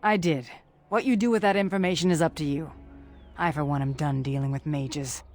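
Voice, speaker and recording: Actress as a low-voiced, blunt streetwise informant. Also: female voice, brisk, a little mellow, soft background music, lightly acted. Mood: irritated, brusque. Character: brusque, streetwise female informant, fed up. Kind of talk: video game voice acting.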